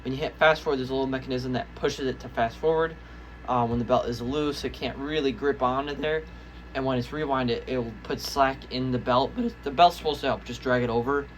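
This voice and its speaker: A man's voice: mid-range voice, fairly even intonation, a little fast, low-quality recording. A young adult man gives a casual, unscripted show-and-tell in a rambling, easily sidetracked way. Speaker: hobbyist video maker. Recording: noticeable background noise, amateur home video.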